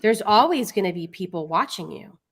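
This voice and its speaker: A woman's voice: medium pitch, lively intonation, a little fast, breathy edge. A woman co-host speaks in a mid-range voice. She sounds matter-of-fact and explains things plainly. Her tone is casual and conversational. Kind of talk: casual livestream commentary.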